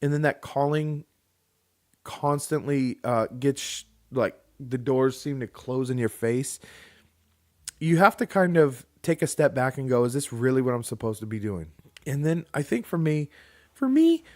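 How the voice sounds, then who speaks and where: A man in his thirties tells a long personal story on a casual podcast. He talks at an everyday mid pitch. He rambles and restarts his sentences, and he drops in joking asides. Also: expressive, unhurried. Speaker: podcast co-host.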